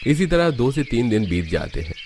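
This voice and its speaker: A man's voice: medium pitch, sing-song, moderate pace, a little mellow, slight background noise. A man narrating a scripted cartoon family story as a storyteller. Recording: music behind the voice. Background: music.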